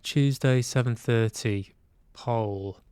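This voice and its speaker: A man's voice: mid-range voice, slow pace, dark tone, clean tone, no echo. A man chatting casually as he hosts a livestream, hesitant and musing aloud to himself. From Manchester, UK. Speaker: livestream host. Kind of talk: casual livestream chat while playing games.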